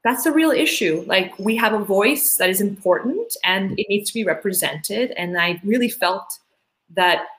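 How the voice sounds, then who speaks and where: A woman's voice: medium pitch, lively intonation, moderate pace, fairly bright tone, low-quality recording. Chatty woman from Tanzania, eager. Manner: eager and chatty, many "like" and "you know" fillers. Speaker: school board candidate. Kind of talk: casual interview answers.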